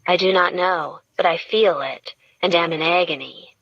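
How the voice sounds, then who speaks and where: Woman voicing a gentle, lovesick young woman. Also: on the low side, moderate pace, vocal fry, muffled recording. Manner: placating, gentle. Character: soft-spoken lovesick young brunette woman.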